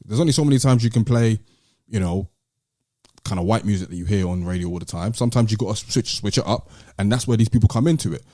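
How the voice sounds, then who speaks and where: A British man co-hosting a podcast, talking in a casual, measured and articulate way with some slang. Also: lowish voice, warm tone, dry recording, studio quality. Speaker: podcast host. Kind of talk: casual discussion and commentary.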